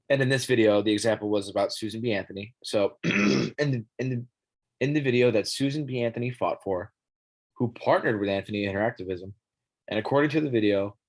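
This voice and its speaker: A young man, a student teacher, presenting an app for the classroom in a halting way, his voice scratchy as he clears his throat and coughs. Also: mid-range voice. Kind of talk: informal classroom tech presentation.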